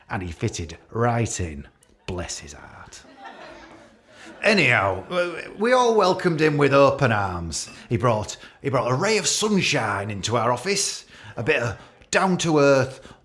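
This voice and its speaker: A man's voice: mid-range voice, fairly bright tone. A man playing an awkward, catty office boss with a British accent, giving a hesitant, rambling, jokey leaving speech in a radio play. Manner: hesitant, repetitive, jokey with barbed asides.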